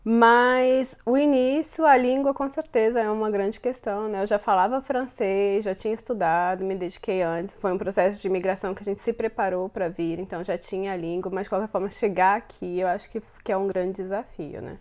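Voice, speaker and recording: A woman's voice: mid-range voice, steady tone, brisk, few pauses, a little mellow. A Brazilian woman in her thirties, a guest answering interview questions thoughtfully, often repeating words as she searches for them. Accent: Brazilian Portuguese. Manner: thoughtful, frequent fillers and self-repeats.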